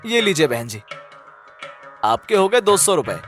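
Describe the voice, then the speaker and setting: Man acting a lively, high-toned coaxing village farmer. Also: measured pace, soft background music. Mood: polite. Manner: coaxing, reassuring. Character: good-humoured, affectionate village farmer turned papad hawker.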